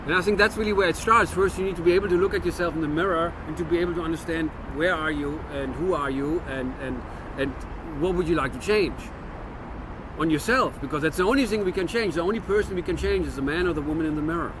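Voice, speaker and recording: A man's voice: fairly high pitch, fast, background noise. A man in his fifties from Germany with a German-American accent, musing earnestly to camera in a reflective monologue with frequent restarts. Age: 50s. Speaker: vlogger.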